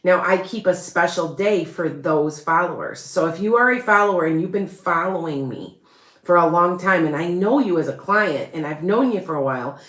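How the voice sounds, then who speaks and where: Woman, Chicago accent, lowish voice, rambling chatty live-stream host, roomy echo. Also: normal speed, fairly bright tone. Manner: rambling and chatty, with frequent laughs and restarts. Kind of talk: casual live-stream chat.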